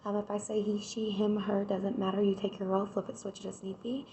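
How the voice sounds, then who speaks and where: A woman, hoarse from a cold, doing a casual tarot reading and chatting straight to her viewers. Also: medium pitch, flat delivery, a little fast, mellow, slight background noise, poor audio. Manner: chatty, addresses viewers directly with repeated "right?". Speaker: tarot reader.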